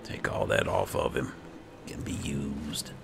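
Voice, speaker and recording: A man with a gravelly voice giving low-key, casual roleplay commentary on a video game, as if talking to himself. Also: takes it slowly. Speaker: gamer playing in character. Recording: clear background game ambience noise.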